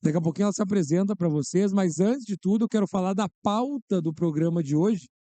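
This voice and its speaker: Very dark-voiced male host. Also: high voice, fast talker, no echo. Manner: playful, jokes about himself. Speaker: podcast host. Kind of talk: theatrical show host introduction.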